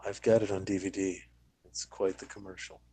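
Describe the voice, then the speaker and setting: A man's voice: deep voice, flat delivery, fairly quick, dark tone, husky, low-quality recording. A man chatting casually as a podcast panelist, with a blunt, dry way of talking. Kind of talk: casual discussion.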